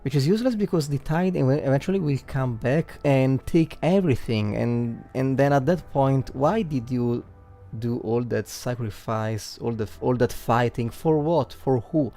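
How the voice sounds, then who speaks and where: A male game streamer with a mellow, gravelly voice talks through his analysis live. He thinks aloud in a halting way, circling back over words, with faint music playing behind him. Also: mid-range voice. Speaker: livestreamer.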